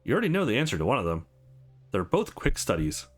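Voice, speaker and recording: A man voicing a shrewd, sentimental old lawyer in a visual novel, speaking formally and with measured care. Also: fairly low pitch, huge pitch swings, husky, soft background music, pitched below the performer's own voice, full character voice. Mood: dry, businesslike.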